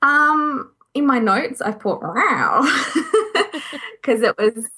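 A woman's voice: high-pitched, big pitch swings, very slow, sharp tone. A woman co-hosting a podcast, casually sharing recommendations in a giggly, self-amused way. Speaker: podcast co-host. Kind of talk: casual chat, giving recommendations.

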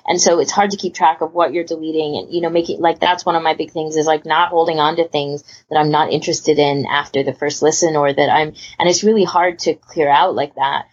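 A woman's voice: low voice, steady tone, a little fast, hardly any pauses, breathy edge. A woman chatting casually about a hobby as a podcast panelist, rambling and emphatic, often rephrasing herself mid-sentence. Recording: muffled lo-fi voice recording.

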